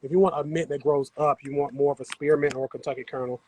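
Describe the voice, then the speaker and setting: A man's voice: on the high side, fairly even intonation, very fast, darkish tone, a little hiss or hum. A man hosting a casual gardening livestream, chatting with viewers as he works the soil on an outdoor patio. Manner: chatty, talks to viewers while working the soil. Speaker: host.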